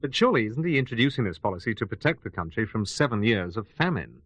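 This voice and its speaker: Male actor for news presenter in radio drama. Mid-pitched, measured and formal, with varied melody, very dry booth. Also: big pitch swings, fairly bright tone, husky, dry recording, exaggerated voice. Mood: formal, serious. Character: male studio news anchor of an ancient-world bulletin. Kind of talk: scripted radio news reading.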